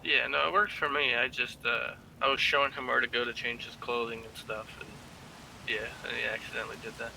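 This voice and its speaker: A man's voice: medium pitch, flat delivery, brisk, creaky, bright, forceful tone, a little hiss or hum, rough recording. A man chatting casually and appreciatively as a newcomer asking questions in an online game. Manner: friendly, appreciative.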